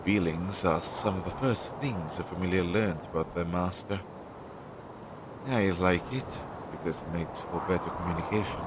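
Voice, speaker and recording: A man acts out a witch's crow familiar for an audio roleplay, earnest, loyal and a little anxious. His voice sits fairly low and moves at a very slow, hesitant pace, as if thinking aloud to a close companion. The amateur recording carries clear background noise. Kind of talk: roleplay audio drama, intimate one-sided dialogue.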